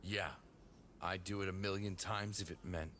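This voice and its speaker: Deep-voiced earnest Titan warrior, played by a man. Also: steady tone, moderate pace, fairly bright tone, slight background noise. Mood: grieving, wistful. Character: earnest, wounded male Titan warrior, grieving and exasperated. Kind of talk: fan audio drama acting and first-person narration.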